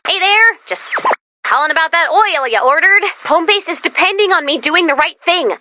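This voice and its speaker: A woman voicing a perky, anxious, chatty young female robot helper in a video game, girlish and excitable, rambling theatrically. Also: very high voice, no echo, exaggerated voice. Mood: apologetic, resolute. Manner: excitable, rambling, theatrical, swinging into hushed asides. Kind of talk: animated video game character voice lines.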